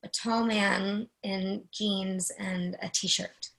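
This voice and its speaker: A woman's voice: medium pitch, fairly even intonation, extremely slow, gravelly, a bit bright, heavily acted, poor audio. A woman playing a sensitive, anxious office worker in a play reading, with a fretful, hesitant delivery. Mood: hesitant, recording.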